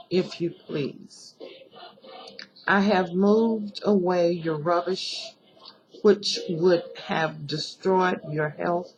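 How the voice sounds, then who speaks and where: A woman giving a solemn recitation of a protest poem, deliberate and pleading, pausing between short phrases. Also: lowish voice, rough recording. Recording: music behind the voice. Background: music.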